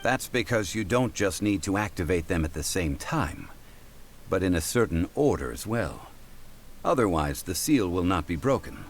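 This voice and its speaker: An actor playing a calm, knowing man in a game, explaining old legends in a measured, formal way. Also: deep voice, a little hiss or hum. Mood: instructive. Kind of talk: scripted game dialogue, storytelling.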